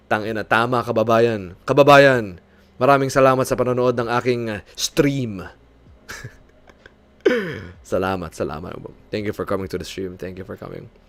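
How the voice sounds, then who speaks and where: A man's voice: mid-range voice, normal speed, pauses often. A young Filipino man streaming as a VTuber, chatting casually and laughing often, with English that leans North American. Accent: light North American-leaning English, Filipino speaker. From Philippines. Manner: chatty, self-deprecating, laughs often, animated when retelling. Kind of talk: casual live-stream chat with viewers.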